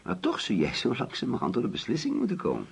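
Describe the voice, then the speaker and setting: An actor playing a genteel, anxious older husband in a radio play, talkative and patronising. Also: male voice, mid-range voice, huge pitch swings, fast, creaky, slightly rough, heavily acted. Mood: paternal, probing. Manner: fussy, insistent, patronising. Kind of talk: Dutch radio play acting.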